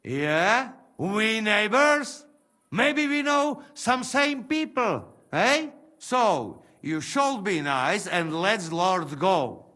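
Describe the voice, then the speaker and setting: Coaxing mercenary. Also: male voice, sits high, very animated, extremely slow, sharp tone, heavily acted. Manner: broken English, coaxing and persuasive. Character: brash male mercenary from the north, broken English. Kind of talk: video game cutscene acting.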